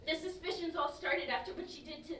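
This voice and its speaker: A high-pitched, cheeky young London woman, played by a woman in a staged reading teases in slang and slips into mock-solemn delivery. The recording is distant and echoey, with clear background noise. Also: fairly even intonation, fairly quick, close to an everyday voice. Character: cheeky, joking young British woman, mock-dramatic best friend.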